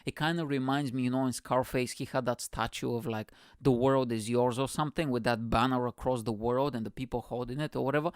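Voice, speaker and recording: A young man from Bulgaria, a podcast host telling stories in a rambling, profane, animated way. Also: medium pitch, quick pace, few pauses, clean tone, no room sound, clean studio sound. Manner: profane, rambling, animated, constant "you know what I'm saying". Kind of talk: casual storytelling monologue.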